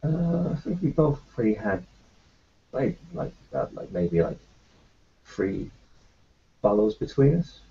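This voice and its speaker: Husky, gravelly low-voiced man. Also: very animated. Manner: halting, full of fillers and restarts. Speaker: player voicing his doctor character. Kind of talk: in-character tabletop roleplay. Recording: dull lo-fi recording.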